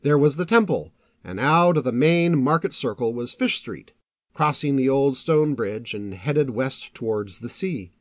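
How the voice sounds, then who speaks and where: Male storyteller for epic fantasy audiobook. Measured, literary, highly varied pitch, dry studio-like sound. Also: animated, no room sound. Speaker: audiobook narrator.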